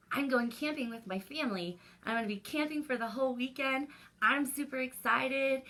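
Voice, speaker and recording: Woman, children's story time host, high-pitched, bright, animated, exclamatory, talking to little kids.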